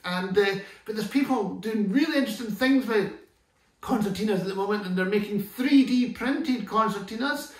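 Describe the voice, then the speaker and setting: A man's voice: high-pitched, moderate pace. A Scottish man in his fifties explaining an instrument in a chatty, enthusiastic way, often restarting his sentences, seated in a living room. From Scotland. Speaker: concertina teacher. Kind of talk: relaxed tutorial explanation. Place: living room, sitting on sofa. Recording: echoey room from far off.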